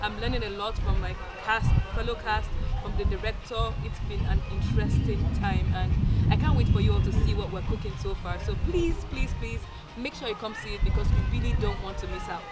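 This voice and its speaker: High-voiced man under loud music. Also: a little fast, hardly any pauses, recorded from a distance, poor audio. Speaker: stage actor. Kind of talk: promotional interview to camera.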